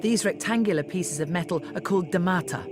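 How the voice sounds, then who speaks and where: A woman narrating a travel documentary in a measured, informative scripted voice-over. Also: on the low side. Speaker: travel show host. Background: background music.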